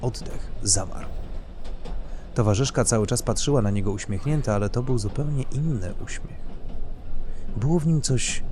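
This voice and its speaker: Low, animated male story narrator. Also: a little hiss or hum. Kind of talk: scripted dramatic story narration.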